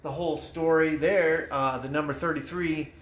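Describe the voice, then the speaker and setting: A man with a fairly high voice runs a casual live podcast, loose and chatty with the odd curse. His voice bounces around an echoey room, with a faint hiss under it. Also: fairly even intonation, normal speed, fairly bright tone. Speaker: host. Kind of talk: casual livestream podcast chat.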